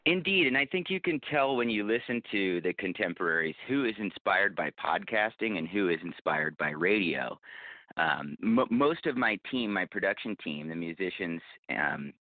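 Low-voiced man on a phone-like line. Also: animated, normal speed, dry recording. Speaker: podcast guest, podcast creator and bookstore owner. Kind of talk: conversational interview answers.

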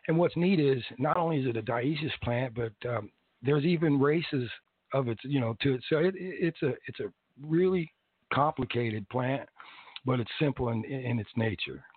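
A man's voice: mid-range voice, normal speed, husky, no echo. A man chatting casually as a guest about hemp, rambling with lots of restarts and small laughs. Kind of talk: casual interview chat. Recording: dry tinny phone-like sound.

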